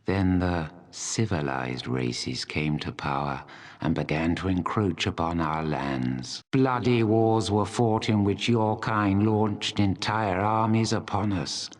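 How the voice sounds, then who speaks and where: An actor playing an ancient, proud and embittered dragon in a fantasy video game, speaking in a solemn, deliberate, coldly menacing way. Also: male voice, deep voice. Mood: bitter, grave. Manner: slow, deliberate, solemn delivery.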